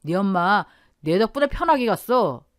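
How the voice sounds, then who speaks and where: Woman doing an older stepfather, cruel, mocking, lively swooping pitch, dry close sound. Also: moderate pace, dry recording, lightly acted. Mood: cold sneering. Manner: mocking, taunting.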